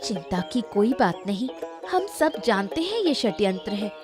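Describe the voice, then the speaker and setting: A woman's voice: medium pitch, big pitch swings, moderate pace, over music, close to an everyday voice. A woman voicing an earnest, sympathetic village neighbour in a Hindi moral-story cartoon. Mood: supportive. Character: sympathetic village neighbour, wary then supportive.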